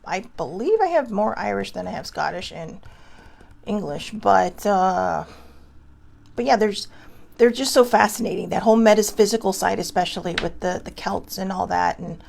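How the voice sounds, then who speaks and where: Chatty woman with a gravelly edge. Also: mid-range voice, animated, normal speed, a little mellow. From Hawaii. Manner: chatty, meandering, many fillers and restarts. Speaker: vlog host. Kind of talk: casual rambling monologue to viewers.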